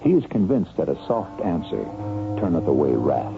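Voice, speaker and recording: A man hosting a radio mystery show with deliberate, theatrical scripted narration. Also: deep voice, very animated, background noise. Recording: tinny radio broadcast, music behind.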